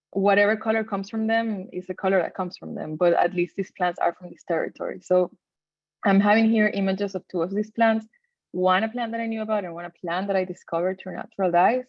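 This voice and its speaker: A woman from Venezuela with a Venezuelan Spanish accent gives an informal presentation in a halting, conversational way, often correcting herself. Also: fairly low pitch, dry recording, muffled recording. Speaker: textile designer giving a talk.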